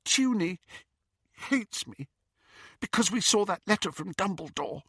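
Audiobook part: tender, proud little girl, man performing, pleading and hurt, lifted pitch, dry studio sound. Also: expressive, unhurried, fairly bright tone, husky, no echo, full character voice. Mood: tearful, upset. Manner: pleading, earnest, wounded. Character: earnest, sensitive young girl, tender but proud. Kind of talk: audiobook character reading.

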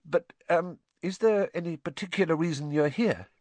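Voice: mid-range voice, moderate pace, darkish tone, dry recording, pitched above the performer's own voice, natural voice. A reader voicing a polite, nervous young boy in a storybook, hesitant and apologetic. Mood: polite, flustered.

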